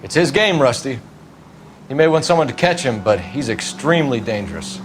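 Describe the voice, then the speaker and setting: An actor playing an earnest, intense young American detective from the 1940s, speaking in a measured, articulate way in a game cutscene. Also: male voice, mid-range voice, sing-song, noisy background, natural voice. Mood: serious. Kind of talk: naturalistic game cutscene acting.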